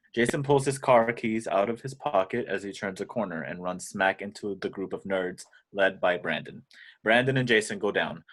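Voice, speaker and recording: A man's voice: sits low, fairly bright tone. A man reading stage directions as scripted narration in a table read.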